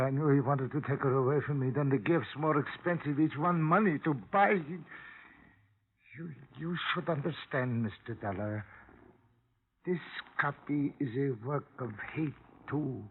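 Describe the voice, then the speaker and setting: A man's voice: mid-range voice, natural voice. Anguished old father played by a man, tinny sound. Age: older. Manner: halting, formal, emotional phrasing. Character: anguished old immigrant father, poor painter, proud and wounded. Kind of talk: 1950s radio drama acting. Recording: tinny, noisy old radio recording.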